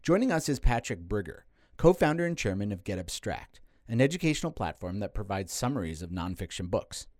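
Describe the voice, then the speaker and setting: A man hosting a podcast, reading a clear, steady introduction and asking guests questions. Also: fairly low pitch, fairly quick, dry recording, studio quality. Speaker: podcast host. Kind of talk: scripted podcast intro and interviewing.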